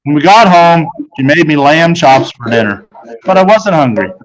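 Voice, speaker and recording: A man's voice: a little high, sing-song, moderate pace, poor audio. A man reading a first-person children's story aloud as its narrator. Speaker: storybook reader.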